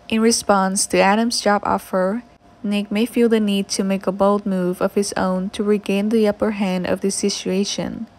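A woman narrates a written script with a smooth, even read-aloud delivery. Her voice sits at an ordinary middle pitch for a woman, steady from sentence to sentence. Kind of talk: scripted narration of soap opera spoilers.

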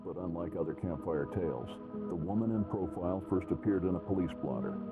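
A man playing a grave narrator of a spooky legends documentary, speaking in a measured, ominous, scripted way. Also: low-pitched, close to an everyday voice. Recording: dull lo-fi TV audio, loud music.

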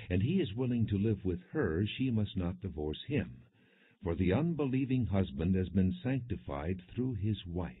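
A man narrating an audio Bible in a measured, solemn, non-dramatized reading, with muffled, narrow sound. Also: deep voice, breathy edge, dry recording.

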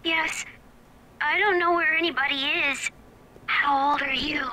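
High-voiced, guarded little girl. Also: fairly even intonation, a little slow, bright, forceful tone, a little hiss or hum, rough recording. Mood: guarded, quiet. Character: small, guarded eight-year-old girl in hiding. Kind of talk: video game voice acting.

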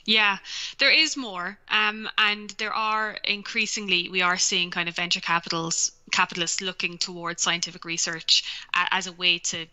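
A woman physicist in her forties answers on a headset over a video call. Her voice sits a little low but sounds very bright and sharp. She explains at length and checks in with "right?". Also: steady tone, moderate pace, clear, resonant tone. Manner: explanatory, thoughtful, tags with "right?". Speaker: physicist guest. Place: home room, indoors.

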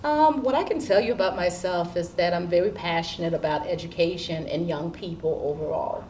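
A woman in her fifties from Haiti speaks in an interview as a school administrator. Her voice sits on the low side. She is picked up from a little way off, with some noise in the room. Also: brisk, a little mellow. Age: 50s. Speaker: school assistant principal. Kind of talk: seated interview answers. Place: indoor school studio with curtain backdrop. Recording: distant mic with background room noise.

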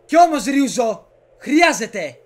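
A man's voice: very high voice, sing-song, a little hiss or hum. A man playing a defiant, honourable young samurai lord, resolute and terse, in an amateur game dub. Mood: grim.

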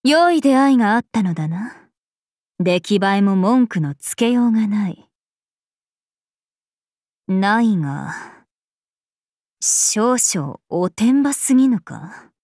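Slow, imperious princess spirit. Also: female voice, medium pitch, sing-song, darkish tone, no room sound, clean studio sound, exaggerated voice. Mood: aloof, mildly chiding. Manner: imperious, archaic, composed, aloof. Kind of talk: Japanese mobile game character voice lines.

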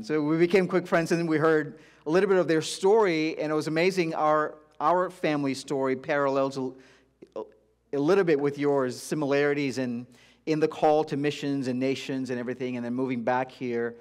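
A middle-aged man from India who grew up in Dubai, giving an informal sermon as a guest preacher in a conversational, halting way. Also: medium pitch. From India-born, grew up in Dubai. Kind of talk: informal sermon, personal testimony. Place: church auditorium stage, before a congregation.

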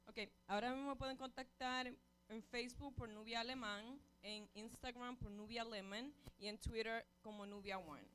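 A woman in her twenties with a Dominican accent, a singer chatting casually as a TV interview guest, agreeable and quick to echo the interviewer. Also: on the high side, fairly even intonation, fairly bright tone, clean tone. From Dominican Republic. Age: 20s. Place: TV studio. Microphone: handheld microphone.

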